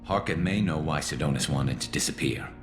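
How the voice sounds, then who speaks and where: A man playing a grim, vengeful alien ex-cop turned vigilante in a video game, with a gravelly voice and terse, menacing delivery. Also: very deep voice, fairly quick, faint music behind. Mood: menacing, tense. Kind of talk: video game character acting.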